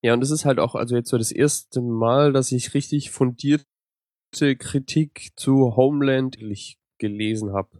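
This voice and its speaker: A man with a cold, co-hosting a podcast in a relaxed, rambling way with many fillers. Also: medium pitch, measured pace, dry recording, studio quality. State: has a cold. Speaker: podcast co-host. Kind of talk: casual podcast chat.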